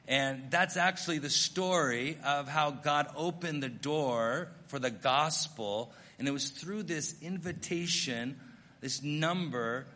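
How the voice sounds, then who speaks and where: A middle-aged American missionary pastor preaching a sermon, telling stories in an earnest, self-deprecating way. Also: male voice, fairly high pitch, bright, forceful tone, long reverb tail. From United States.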